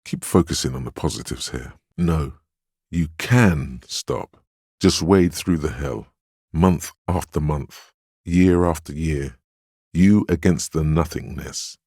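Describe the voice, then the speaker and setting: Very deep, gravelly voice of willpower. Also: male voice, takes it slowly, darkish tone, dry recording, studio quality, exaggerated voice. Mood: steadfast. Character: inner voice of willpower, a stoic, protective, encouraging male conscience. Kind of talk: dramatic game voice acting.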